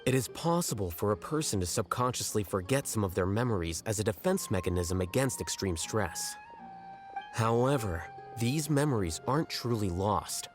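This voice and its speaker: An actor playing a blunt, aloof male scholar in a game, measured and condescending. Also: on the low side, natural voice. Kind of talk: game voice acting.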